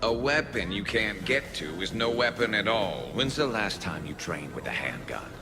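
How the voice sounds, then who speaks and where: Game role: wry veteran alien soldier, gravelly voice, music underneath. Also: male voice, fairly low pitch, lively intonation, moderate pace. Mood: firm, teasing. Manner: dry, wry. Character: dry-witted, battle-seasoned male alien sharpshooter. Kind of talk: video game acting.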